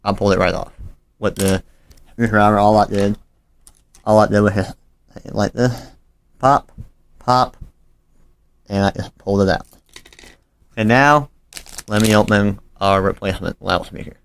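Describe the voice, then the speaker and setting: A man with a fairly low voice narrates a casual hands-on repair tutorial. He talks informally and a little apologetically while busy with his hands, and the sound is dry and close, as filmed on a handheld phone. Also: steady tone, measured pace, gravelly, long pauses, no room sound.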